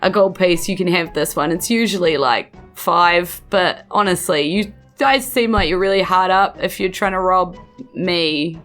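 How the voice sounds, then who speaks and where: A woman's voice: fairly low pitch, moderate pace, fairly bright tone, faint music behind, voice pushed down, lightly acted. Woman playing a flustered, rambling male shopkeeper. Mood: haggling. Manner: hesitant, rambling, repeats himself. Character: flustered male apothecary shopkeeper, hard-working, haggling.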